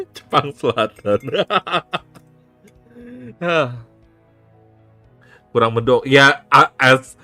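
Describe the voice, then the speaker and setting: A man's voice: mid-range voice, a bit bright, dry recording. A young man with an Indonesian accent, chatting casually on a live stream, laughing often, swearing and restarting words. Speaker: VTuber streamer.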